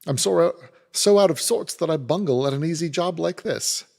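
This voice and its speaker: A man voicing a big, gruff old trooper who speaks in an earnest, loud voice. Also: fairly high pitch, moderate pace, pitched above the performer's own voice. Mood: troubled. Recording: reverberant room.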